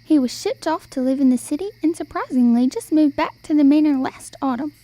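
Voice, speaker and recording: Young woman playing a high-pitched, folksy old storyteller woman. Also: a little fast, warm tone, no room sound, natural voice, low-quality recording. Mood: knowing. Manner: folksy, old-fashioned phrasing. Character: small, old, hunched travelling storyteller woman, folksy and sly. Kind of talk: amateur radio play acting.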